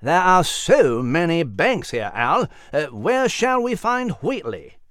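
Refined gentleman creature male narrator acting: formal, scholarly, expressive, dry close sound. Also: a little high, slow pace, dry recording, exaggerated voice. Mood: inquiring, purposeful. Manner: proper, formal, precise. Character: refined, learned, bespectacled male animal gentleman.

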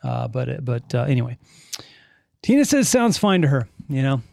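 A man's voice: mid-range voice, big pitch swings, normal speed, gravelly, a little mellow, no echo, clean studio sound. A man hosting a casual live podcast, rambling and self-correcting as he reads listener chat comments aloud. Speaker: podcast host. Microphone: studio mic with headphones.

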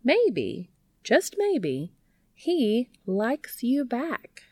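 Woman voicing a chirpy, higher-pitched teasing best friend. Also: huge pitch swings, extremely slow, dark tone, husky, dry recording, heavily acted. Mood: coaxing. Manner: bouncy, emphatic, teasing.

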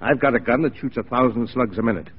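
An actor in a 1940s radio drama playing a scheming, greedy, ruthless rebel villain on an old recording. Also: male voice, mid-range voice, quick pace, breathy edge, highs cut off, close to an everyday voice. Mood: cold, persuasive.

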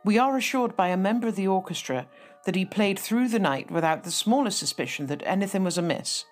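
A woman's voice: medium pitch, expressive, brisk, soft background music. Woman reading an old report aloud. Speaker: narrator reading a newspaper extract. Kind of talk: formal read-aloud narration.

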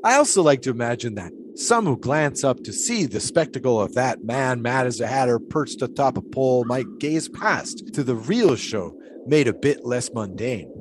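A man's voice: medium pitch, expressive, normal speed, few pauses, slight background noise, lightly acted. A man playing an eccentric, devout 1920s pole-sitter in an audio drama, musing in folksy, old-fashioned storytelling phrases. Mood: reflective, contented.

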